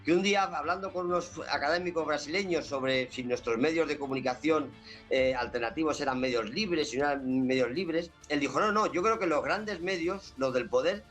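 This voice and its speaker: A man's voice: a little high, moderate pace, a bit bright, faint music behind. A man making an emphatic, argumentative political commentary.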